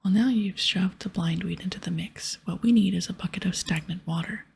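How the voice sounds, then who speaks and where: A woman voicing a gruff, bossy male pirate captain in a breathy ASMR whisper, with piratical dialect. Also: fairly low pitch, a little fast, a little mellow, slight background noise. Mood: bossy, explaining. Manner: piratical dialect, explaining and ordering. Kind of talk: whispered ASMR game dialogue.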